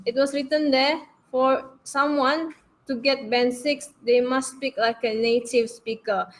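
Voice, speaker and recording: A woman's voice: sits high, level tone, a little slow, poor audio. A woman hosting an interview, asking questions and sharing her thoughts in a conversational way with some fillers. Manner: conversational, thinks aloud with fillers. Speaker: interview host, teacher. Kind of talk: casual interview chat.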